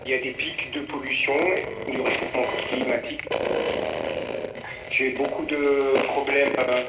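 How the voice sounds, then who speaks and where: A man's voice: mid-range voice, level tone, unhurried, lo-fi sound. Man testifying through very noisy radio. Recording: very noisy, distant, echoey radio.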